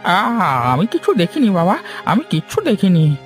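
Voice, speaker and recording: A man's voice: high voice, huge pitch swings, a little slow, faint music behind, heavily acted. A man voicing a frightened old villager in a melodramatic cartoon, stammering and wailing. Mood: panicked, pleading.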